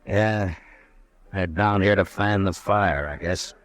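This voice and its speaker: An actor playing a calm, firm frontier marshal in a 1950s radio drama. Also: male voice. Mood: steady. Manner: measured, firm, low-key.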